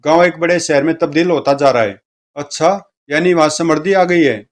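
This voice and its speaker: A man's voice: on the high side, fairly quick. A man reading comic strips aloud as a story narrator, speaking the dialogue of each character. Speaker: comic book reader, narrator.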